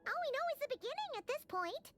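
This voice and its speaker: Squeaky, excitable little fairy sprite. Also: female voice, fast talker, music in the background. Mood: curious. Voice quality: squeaky, childlike. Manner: exclamatory, inquisitive, chirpy. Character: small excitable childlike female fairy companion sprite. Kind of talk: video game voice acting.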